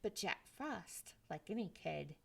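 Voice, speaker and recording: A woman storyteller reading a children's story aloud in a gentle, expressive way. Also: fairly low pitch, takes it slowly, creaky, breathy edge. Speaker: library storyteller.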